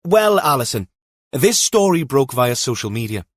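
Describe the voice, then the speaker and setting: Man acting a high, projected TV news correspondent. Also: sing-song, normal speed, no room sound, studio quality. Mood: urgent, formal. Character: earnest male TV news correspondent reporting live from scene. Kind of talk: live news report.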